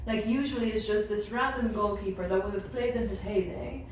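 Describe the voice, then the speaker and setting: A woman with a medium-pitched voice talks in a loose, colloquial way in a team discussion. The room is strongly echoey and there is faint noise behind her. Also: fairly even intonation, brisk, darkish tone. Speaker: sports media team member in panel discussion. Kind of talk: casual chat. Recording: very roomy, distant mic, faint noise.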